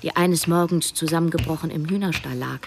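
Low, creaky-voiced wry female narrator. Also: a little fast, background noise. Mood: reflective. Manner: wry, introspective. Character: cash-strapped woman narrator, wry, conflicted amateur sleuth. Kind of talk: first-person radio drama narration.

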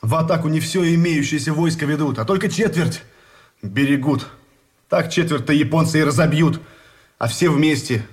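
An actor in a Russian radio drama playing a plain-spoken, grumbling captured soldier with a blunt, folksy manner. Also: male voice, on the high side, normal speed, close to an everyday voice. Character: plain-spoken, grumbling rank-and-file soldier held prisoner.

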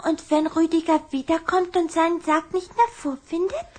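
A woman playing an affectionate, excitable little vampire girl in a children's audio drama, coy and eager in manner. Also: very high voice, normal speed. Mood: concerned.

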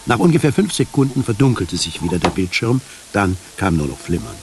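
A man narrating a science fiction radio play in a measured, detached, descriptive way. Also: on the low side, sing-song, noisy background. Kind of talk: scripted radio drama narration.

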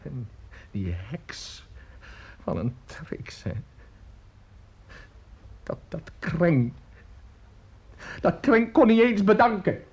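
An actor in a radio drama playing a bitter, drunken old widower, rambling and halting as he repeats his words in self-pity. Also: on the high side, huge pitch swings, a little mellow, husky, dull audio, exaggerated voice. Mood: bitter ranting. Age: older.

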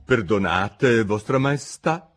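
A man's voice: fairly low pitch, lively intonation, slow. A man voicing a nervous, apologetic hatter in a storybook reading. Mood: flustered. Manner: flustered, apologetic. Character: nervous fidgety male hatter.

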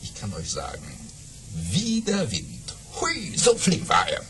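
An actor playing a boastful baron who spins tall tales with dramatic pauses and exclamations in an audio play. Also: male voice, fairly high pitch, huge pitch swings, over music, full character voice. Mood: suspenseful storytelling. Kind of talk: German audio-play storytelling.

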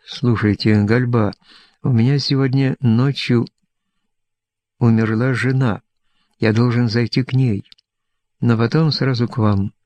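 A reserved, courteous man with a wry streak read by a male narrator. He talks slowly, in audiobook dialogue with a close, dry sound. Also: medium pitch, fairly even intonation, darkish tone, no room sound, close to an everyday voice.

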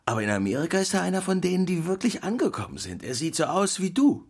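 Animated, husky storytelling husband. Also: male voice, sits high, quick pace, exaggerated voice. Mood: relishing, confiding. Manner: theatrical anecdote telling with quoted dialogue. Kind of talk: radio play storytelling.

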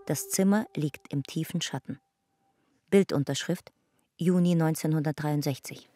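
A narrator in a radio play soberly describes photographs in measured, matter-of-fact fragments. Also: mid-range voice, close to an everyday voice. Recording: music behind the voice. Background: music.

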